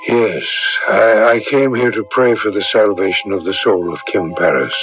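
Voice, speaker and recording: An actor playing a grave, earnest old priest in a radio drama. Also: male voice, on the low side, moderate pace, faint music behind, lightly acted. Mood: grave, worried. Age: older. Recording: dull vintage radio recording.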